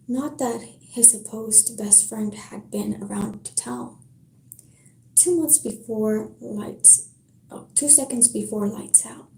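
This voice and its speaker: A woman with a French accent, chatting easily on a live stream and reading a novel aloud in a soft-spoken way. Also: on the high side, gravelly. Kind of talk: casual live-stream chat and book reading. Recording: roomy echo.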